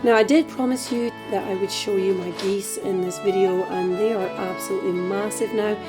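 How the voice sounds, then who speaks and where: A Scottish woman hosting a cooking tutorial in a chatty, relaxed way, her voice a little hoarse from a dry throat. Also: medium pitch, normal speed, over music. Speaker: cooking channel host. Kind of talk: casual cooking tutorial voice-over.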